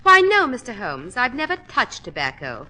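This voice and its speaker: Highly strung noblewoman, melodramatic, high voice with wildly swooping pitch, vintage radio mystery. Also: wildly swooping pitch, normal speed, bright, forceful tone, full character voice. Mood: flustered, defensive. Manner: melodramatic, fluttery. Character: English aristocratic wife, nervous, defensive, highly strung. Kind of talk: 1940s radio acting.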